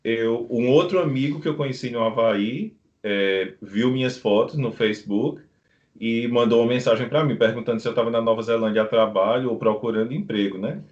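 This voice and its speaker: Middle-aged Brazilian man, guest in an interview, unhurried talker, dull video-call sound. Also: mid-range voice. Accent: Brazilian Portuguese. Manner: unhurried, rambling anecdotes. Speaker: guest, beekeeper living abroad. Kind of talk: casual interview storytelling.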